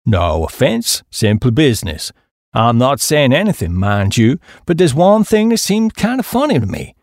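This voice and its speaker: A man's voice: mid-range voice, very animated, moderate pace, a little mellow, no room sound, studio quality, lightly acted. A man voicing a stout, suspicious American small-town businessman in an audiobook, blunt and insistent. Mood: challenging.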